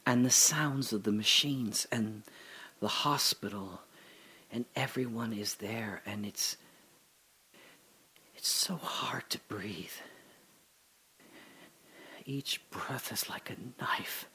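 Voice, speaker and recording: An actor playing a dying older father, telling his memories in a halting, breathy dramatic monologue that drops to whispers. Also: on the low side, sharp tone. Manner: halting, breathy, emotional, many pauses and restarts. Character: dying old father recalling his daughter's birth.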